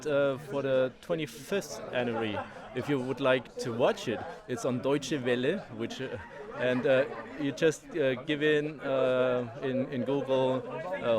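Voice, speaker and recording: Middle-aged man, German accent, halting casual explanations, repeated words, medium pitch, clearly audible street crowd. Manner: halting non-native English with repeated words. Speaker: street sausage vendor being interviewed. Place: outdoors on a town street. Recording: busy street, crowd noise behind.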